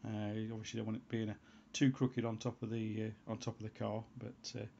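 A man giving an unscripted how-to voice-over as a hobbyist presenter, in a halting way, as if thinking aloud. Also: on the low side, level tone, creaky, mellow, slight background noise. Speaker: hobbyist presenter of a homebrew antenna tutorial. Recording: dull, lo-fi sound.

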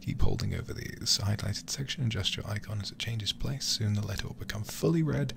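A man streaming a game in ASMR style, reading aloud and commenting in a hushed, intimate way close to the microphone. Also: lowish voice, background noise. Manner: hushed, slow and intimate delivery with breathy whispers.

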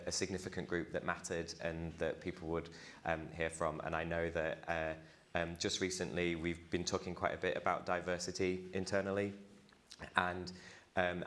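A man in his thirties with a low voice talks as a panelist. He speaks hesitantly with many fillers, picked up on a lapel mic in a university hall. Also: a bit bright. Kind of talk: panel discussion.

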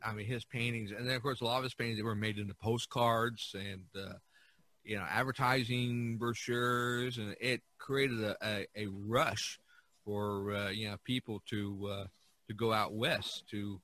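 A man speaking as a podcast co-host in a casual art history discussion, haltingly searching for words. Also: fairly low pitch, steady tone, sharp tone.

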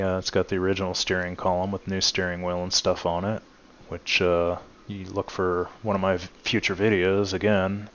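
A man's voice: low voice, lo-fi sound. A man hosting his channel, casually walking viewers around his truck in a home garage with lots of "uh" fillers. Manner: relaxed, many "uh" fillers, addresses viewers as guys. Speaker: channel host showing his truck restoration. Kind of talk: casual walkaround narration.

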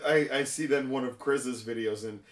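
A man of average pitch talks loosely and informally as a co-host among friends. He is relaxed about swearing. His voice is recorded with obvious room echo. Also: moderate pace. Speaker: co-host of gaming talk show. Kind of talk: casual chat about video games. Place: small room lined with display cases, indoors. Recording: roomy echo.

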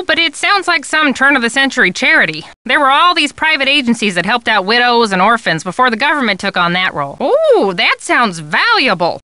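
Gushing, high-pitched chatterbox photojournalist. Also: female voice, sharp tone, dry recording, exaggerated voice. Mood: chatty, enthusiastic. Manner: gabby, gushing, rambling. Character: chatty, warm, scatterbrained woman photojournalist, easily carried away. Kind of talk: video game character dialogue.

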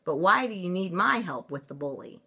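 A woman voicing an excitable, playful young kitten boy in a storybook, with theatrical exclamations. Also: fairly low pitch, normal speed, slightly rough, heavily acted. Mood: surprised, confident. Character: excitable young Siamese kitten boy playing a chihuahua hero.

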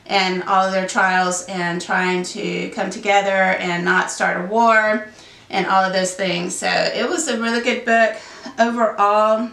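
A woman in her thirties talks through her month of reading while fighting a sinus cold. Her voice is gravelly with a bright edge, and she wanders and corrects herself as she goes. The sound is roomy, picked up from a camera a little way off. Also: mid-range voice. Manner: rambling, many ums, self-correcting. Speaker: book vlogger. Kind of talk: casual book wrap-up chat. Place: home room with bookshelves behind. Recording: roomy echo, mic a bit distant.

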